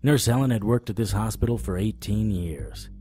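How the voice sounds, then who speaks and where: Male noir private eye, played by an actor, deep and dark-toned, wry, deadpan, audio drama. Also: moderate pace, faint music behind, natural voice. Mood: wry, probing. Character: wry, world-weary male private investigator of the supernatural. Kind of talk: first-person noir narration and dialogue in audio drama.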